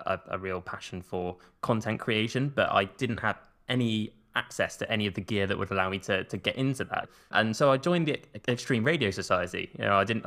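A man hosts a podcast interview in a fairly low voice. He sounds upbeat, praising answers and asking the next question, and stumbles over a few openings. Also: pushed and bright. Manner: upbeat, with stammered restarts. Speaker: podcast host.

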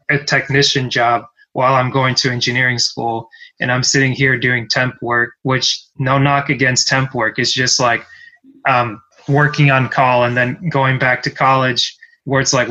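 A man's voice: mid-range voice, bright, forceful tone, poor audio. A man from Cameroon hosting a podcast, telling long rambling stories and acting out what people said. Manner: rambling storyteller, many "like" fillers, acts out quotes. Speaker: podcast host. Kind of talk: casual conversation.

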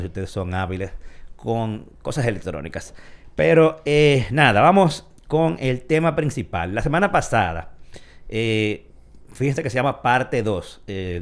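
A man's voice: medium pitch, clean tone. A man hosting a weekly live tech show, talking in a chatty, rambling way with many fillers. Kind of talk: casual live show talk.

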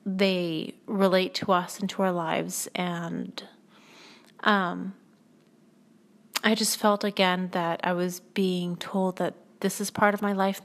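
A woman hosting her own podcast, talking in a soothing, reflective way and pausing often to gather her thoughts. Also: lowish voice. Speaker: podcast host. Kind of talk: reflective spoken monologue.

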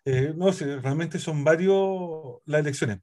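Man, chilean accent, priest hosting a chat show, higher voice, loose rambling delivery. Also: takes it slowly, a little mellow, slight background noise. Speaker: priest and host. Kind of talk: casual radio chat.

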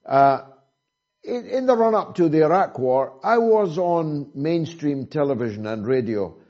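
A man from Britain hosting an interview who talks deliberately and asks rhetorical questions. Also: fairly high pitch, animated, dark tone. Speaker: interviewer, host. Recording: dull lo-fi sound.

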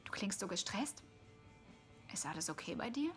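Younger sister for TV drama: mid-pitched, weary, frank, caring, soft music underneath. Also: female voice, big pitch swings, normal speed. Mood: concerned, subdued. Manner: subdued, frank, concerned. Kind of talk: dubbed TV series acting.

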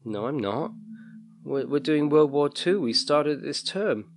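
Man acting a stammering, frightened schoolboy, pitched up. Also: animated, takes it slowly, slight background noise. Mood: defiant. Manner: stammering, urgent questioning. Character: frightened, stammering, contradictory schoolboy called Daniel.

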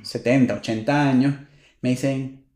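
A Venezuelan man in his thirties, co-hosting a casual chat, telling stories with animated, quick bursts when amused. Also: medium pitch, echoey room. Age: 30s. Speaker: podcast co-host.

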